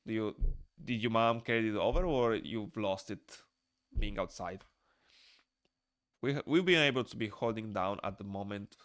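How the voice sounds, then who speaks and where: A man's voice: fairly low pitch, moderate pace, pauses often, a bit bright, dry recording, clean studio sound. A young man from Moldova with a Moldovan accent, chatting casually with his viewers while he draws on a live stream, reading their messages aloud and answering them. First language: Romanian. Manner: rambling, reads chat aloud and answers it. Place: small room indoors.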